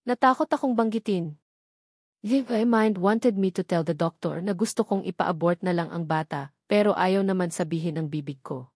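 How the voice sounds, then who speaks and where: A woman's voice: on the low side, darkish tone, dry recording, clean studio sound. A woman with a Filipino accent reading a scripted first-person novel as its narrator. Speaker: story narrator.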